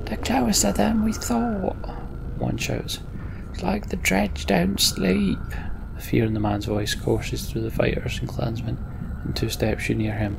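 A man's voice: on the low side, vocal fry, background noise. A man hosting a Let's Play, giving casual game commentary and reading the story text aloud.

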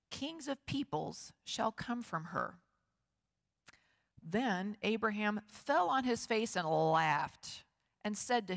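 Middle-aged woman pastor, measured and expressive, average pitch, long church reverb. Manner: measured, expressive reading with slow emphatic pauses. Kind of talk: scripture reading and sermon. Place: large wood-paneled church sanctuary. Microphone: lectern and stand microphone, far camera.

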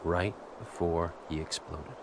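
An actor playing a tough, terse biker hero in his thirties with an American accent, deadpan and threatening, in a video game. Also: low-pitched, a little slow, noisy background. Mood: cold, menacing. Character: tough brooding vengeful biker hero, curt and menacing. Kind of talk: video game cutscene acting.

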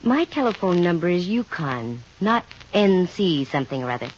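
An actress with an American accent playing a wry, self-assured private investigator in a 1950 radio drama, brisk and dry. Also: female voice, on the low side, very animated, a little hiss or hum. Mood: brisk, confident. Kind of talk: 1950 radio detective drama acting, with first-person narration. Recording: muffled vintage 1950 radio recording with music.

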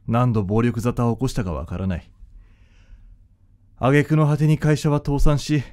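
A man playing an arrogant, bitter young doctor in a radio drama, speaking in a rough, curt, casual way. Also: lowish voice, dark tone, natural voice. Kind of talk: radio drama acting with inner narration.

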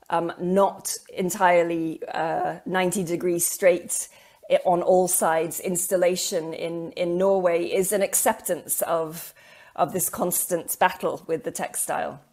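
A woman's voice: fairly low pitch, a little mellow. A middle-aged woman giving an academic lecture on art over slides, thoughtful and hesitant, with many ums and repeated words, on a video call. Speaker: keynote lecturer.

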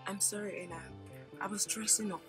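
An actress plays a proud, touchy young Nigerian woman in a comedy skit. Her mid-pitched voice is understated and defensive, with music clearly playing behind it. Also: very animated, fairly quick, a bit bright. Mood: hurt, apologetic.

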